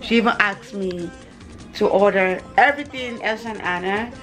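A woman's voice: medium pitch, moderate pace, fairly bright tone, soft background music. A woman in her thirties chatting casually to a camera at arm's length in a home kitchen, repeating words and drifting into asides. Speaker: family vlogger and mother. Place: small home kitchen, indoors. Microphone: camera held at arm's length.

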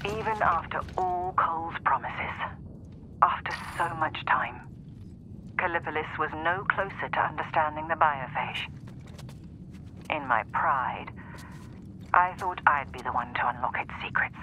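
An actor playing a regretful male scientist narrating his own recorded game logs in a grave, measured way. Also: on the high side, bright, forceful tone, lo-fi sound, a little hiss or hum. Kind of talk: video game voice acting.

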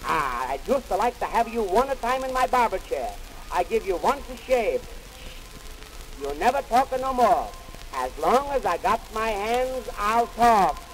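A man voicing a loud, indignant Italian barber with a stage Italian accent, in 1920s dialect comedy record style. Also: sits high, sing-song, unhurried. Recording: hissy, noisy 1920s 78 rpm record.

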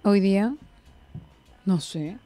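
A woman's voice: medium pitch, takes it slowly, warm tone, soft background music. Young Peruvian woman chatting casually. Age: young adult. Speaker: radio host. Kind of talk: casual chat. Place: small radio studio. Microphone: studio mic on a desk arm.

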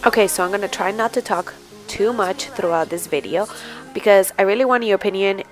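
A young woman and mother chatting casually to the camera in her home kitchen, relaxed and a little rambling. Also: mid-range voice, fairly quick, faint music behind. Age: young adult. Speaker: vlogger, mom.

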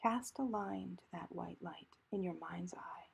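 A woman leading a guided meditation in a calm, gentle, measured manner, with a soft, breathy voice that often trails into a whisper. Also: lowish voice.

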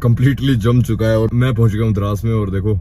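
A man in his thirties, chatty and upbeat, vlogging to his phone held at arm's length on a high mountain roadside. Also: steady tone, fast talker, warm tone, slight background noise. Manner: chatty, upbeat, pointing out sights to viewers. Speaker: travel vlogger. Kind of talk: casual travel vlog to camera.

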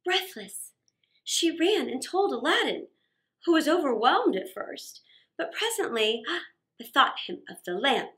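High, very animated woman storyteller. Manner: expressive, theatrical pauses and emphasis. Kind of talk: dramatic read-aloud story narration for children. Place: small indoor set.

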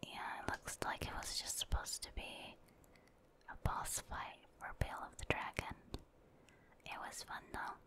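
Female gaming ASMR host: fully whispered, breathy delivery, casual rambling commentary as she plays. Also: a little slow, bright, forceful tone. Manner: casual, rambling, thinking aloud.

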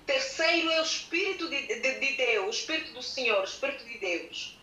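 A woman working as a consecutive interpreter, halting at times as she searches for words. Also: high-pitched, animated, pushed and bright. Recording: echoey room sound.

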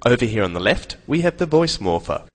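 A young man narrating a scripted software tutorial in a casual, playful manner. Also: medium pitch, sing-song, a little fast. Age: young adult.

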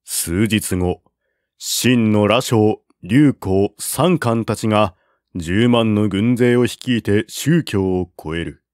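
A man reading a classical war novel aloud as narrator, in a slow, measured way at a close mic. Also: on the low side, darkish tone, dry recording. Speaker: audiobook narrator.

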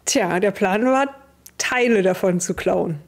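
A woman's voice: mid-range voice, unhurried. A young woman narrating and refereeing a tabletop roleplaying game as game master on an online video call.

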